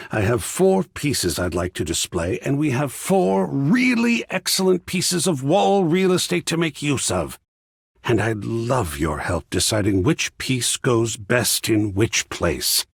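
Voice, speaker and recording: A man's voice: medium pitch, vocal fry, husky, no room sound, studio quality, exaggerated voice. An actor playing an effusive, sensitive male art connoisseur in a video game, speaking in a florid, theatrical, musing way. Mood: eager, welcoming. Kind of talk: scripted game character dialogue.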